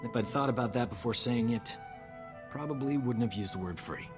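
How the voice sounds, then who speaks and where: An actor playing a cocky, flirtatious doctor in a video game, breezy, joking and pleased with himself. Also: male voice, medium pitch, a little fast, over music, lightly acted. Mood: breezy, boastful. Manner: breezy, self-satisfied, joking. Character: cocky, flirtatious male doctor, wisecracking space adventurer. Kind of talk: scripted video game acting.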